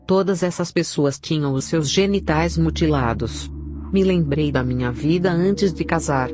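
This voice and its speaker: Woman, Brazilian accent, low-pitched, scripted testimony narration, dramatic religious style, clear music bed. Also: unhurried, a little mellow. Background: prominent music.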